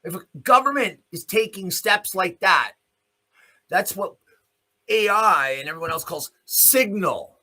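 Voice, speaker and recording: Man, forceful husky voice, widely swinging pitch, blunt sardonic commentary host. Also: lively intonation, measured pace. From Canada. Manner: blunt, sardonic, profane, with pointed rhetorical questions. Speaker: host of a news commentary show. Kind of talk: opinionated rant, spoken off the cuff.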